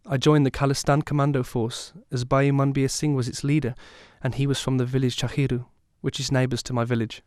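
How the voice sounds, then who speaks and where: A man playing a haunted survivor, recounting a traumatic youth in solemn, measured scripted narration for an audio drama. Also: mid-range voice, darkish tone, no room sound, clean studio sound, lightly acted.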